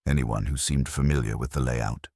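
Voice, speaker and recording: A courteous police inspector in a mystery audiobook, played by the narrator, a man. He probes gently, formal and restrained, in a very deep voice with a dry sound. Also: normal speed, creaky, breathy edge, no room sound, exaggerated voice. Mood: calm probing.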